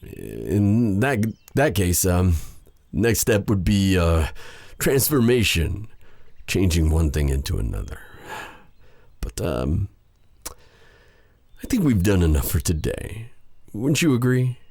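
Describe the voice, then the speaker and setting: Male actor as brooding fantasy sorcerer, husky breathy voice, slow formal halting delivery, bitter yet tender, intimate ASMR drama, very dry close sound. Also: sits low, very animated, darkish tone, dry recording, exaggerated voice. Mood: tender, hesitant. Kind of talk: dramatic ASMR roleplay addressed to the listener.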